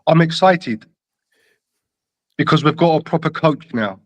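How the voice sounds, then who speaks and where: A man with a North London accent tinged with Greek Cypriot and slight Australian, giving emphatic, deliberate opinions as a caller in casual football chat. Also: fairly high pitch, no echo. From London, England. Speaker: caller to a football fan show.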